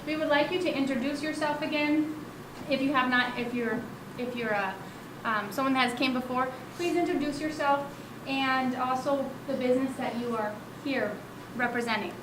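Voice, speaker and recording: High-voiced woman moderating on a handheld mic. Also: normal speed. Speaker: event moderator. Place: meeting room. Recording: echoey room, noisy.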